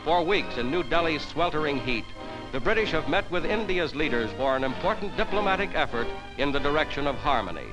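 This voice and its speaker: Male 1940s newsreel narrator, American, higher-pitched, formal and declamatory, over prominent music. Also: expressive, brisk, poor audio. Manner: formal, declamatory announcer delivery. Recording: 1940s newsreel soundtrack, loud music behind.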